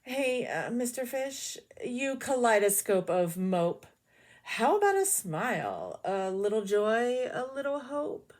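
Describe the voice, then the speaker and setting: A squirmy, slightly impolite squid , as a woman reading aloud puts her on, teases in a raised, breezy voice. Her pitch wobbles all over. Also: sing-song, takes it slowly, darkish tone. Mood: cheeky.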